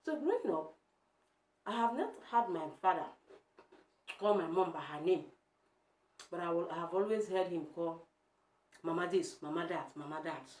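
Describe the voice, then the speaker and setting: A woman's voice: on the low side, animated, moderate pace, long pauses, darkish tone, a little hiss or hum. A young woman with a Nigerian Igbo accent, talking straight to her viewers in a casual opinion vlog and firing emphatic rhetorical questions at them. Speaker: vlogger. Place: home room. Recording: echoey room.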